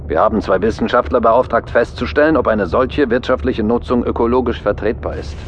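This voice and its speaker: A man playing a businesslike official who briefs a pilot over a video link in an audio drama, speaking in a brisk, matter-of-fact way. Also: mid-range voice, lo-fi sound, a little hiss or hum, natural voice. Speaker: planetary commission official.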